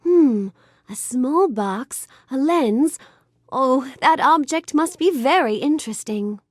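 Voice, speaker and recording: A woman playing a sweet, curious, bookish young fairy-tale heroine in a video game, speaking with gentle, courteous wonder. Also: high voice, slow, dry recording, clean studio sound, exaggerated voice. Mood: curious, intrigued. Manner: gentle, wonder-filled, courteous, expressive delivery. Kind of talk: animated game character dialogue.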